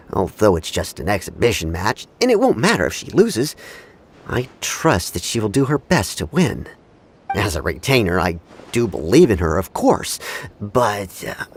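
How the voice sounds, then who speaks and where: A man playing a humble, loyal samurai retainer in a video game, speaking formally and deferentially with emphasis. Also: lowish voice. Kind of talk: video game cutscene dialogue.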